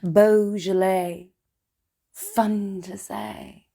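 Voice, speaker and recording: A woman acting a melancholy, wryly funny and tipsy character in an intimate, halting theatrical monologue. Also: medium pitch, steady tone, gravelly, dark tone, exaggerated voice. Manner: halting, intimate, dramatic pauses. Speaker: stage actor performing a solo monologue. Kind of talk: confessional theatrical monologue, spoken as a letter.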